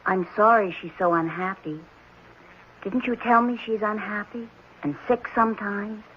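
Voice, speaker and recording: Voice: mid-range voice, steady tone, moderate pace, lightly acted. Orphan boy, ten, played by an actor, childlike, polite and uncannily sure of himself, in a dull-sounding vintage radio drama. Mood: knowing, insistent. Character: ten-year-old orphan boy, eerily knowing, polite. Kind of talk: 1970s radio drama acting. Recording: muffled noisy old radio broadcast.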